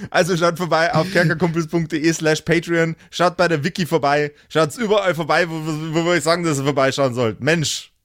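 A man's voice: on the high side, fairly even intonation, a little fast, hardly any pauses, a bit bright, no echo, clean studio sound. A man hosting a podcast in a jokey, self-deprecating, rambling, casual way. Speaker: podcast host and game master. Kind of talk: casual, improvised outro chat.

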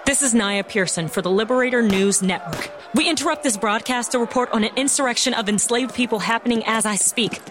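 Actress playing woman radio-drama reporter, urgent, earnest, fairly high voice, breaking news delivery, slight background noise. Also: a little fast, hardly any pauses, close to an everyday voice. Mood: urgent, serious. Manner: grave, earnest on-scene reporting. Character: urgent woman broadcast reporter amid a slave uprising.

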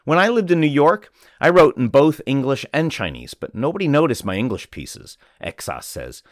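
A man narrating a magazine article aloud as a reader, in an even, measured scripted narration. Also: medium pitch, no echo, clean studio sound.

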